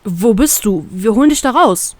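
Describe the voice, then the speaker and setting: A woman reader voicing a young male pirate who talks in a hurried, anxious way. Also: mid-range voice, expressive, dry recording, lightly acted. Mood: hectic. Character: young male pirate crewmate, hectic and worried.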